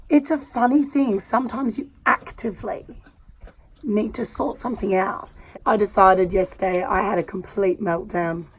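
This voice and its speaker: Hoarse Australian woman, voice nearly gone. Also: mid-range voice, a little hiss or hum, poor audio. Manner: candid and heartfelt, with many fillers. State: hoarse, losing her voice. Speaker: horse rider and vlogger. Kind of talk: casual vlog talk to camera. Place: outdoors in a horse paddock, sitting on the ground.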